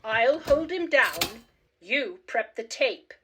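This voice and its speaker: A brash, reckless woman zookeeper, acted by a woman in a radio play. Her voice is high and swings widely, and she takes her time over her emphatic lines. She sounds determined and theatrical. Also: lively intonation, slow, heavy background noise. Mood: brash, confident.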